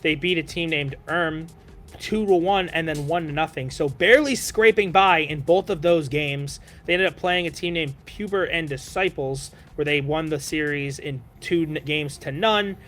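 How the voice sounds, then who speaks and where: Bright, chatty male esports caster. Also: on the high side, moderate pace. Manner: chatty recap with many "you know" fillers. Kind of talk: live esports commentary.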